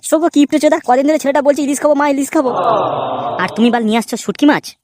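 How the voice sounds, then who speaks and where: Casting: woman doing brash comic cartoon scold, high and fast, crude, sarcastic, faint noise behind. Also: crowd noise, lightly acted. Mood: scornful.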